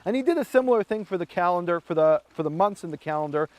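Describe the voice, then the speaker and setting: A man giving a Bible teaching talk, telling history as a lively story and acting out the quoted voices. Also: high voice, fast, dry recording.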